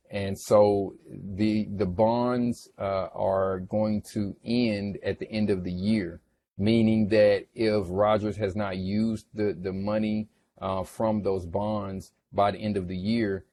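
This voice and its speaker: A man giving an informal, explanatory financial presentation in a halting manner, often checking in with the listener. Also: fairly low pitch, a little mellow. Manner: halting, checks in with "right?" and "okay?". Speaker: investment presenter. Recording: clearly noisy background ambience.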